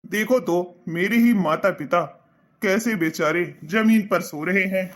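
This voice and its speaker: A man's voice: sits high, unhurried. An actor voicing an earnest young son in a cartoon, reassuring his parents in a heartfelt way.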